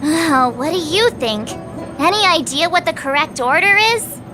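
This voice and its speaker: A woman's voice: very high voice, expressive, a little slow. An actress playing a perky, self-assured young woman detective in a video game, playful and boastful. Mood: playful, thinking aloud. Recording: music under the voice.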